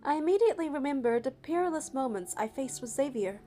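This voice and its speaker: A woman narrating a game playthrough, reading the story text aloud in a steady, even way. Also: high-pitched, quick pace, soft background music.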